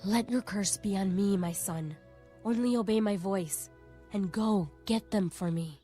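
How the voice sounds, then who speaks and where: A woman's voice: medium pitch, a little slow, dark tone, natural voice. An actress playing a scheming Bible matriarch in an audio drama, urging her son in a firm, formal, urgent way. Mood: firm, insistent. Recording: faint background music under the voice.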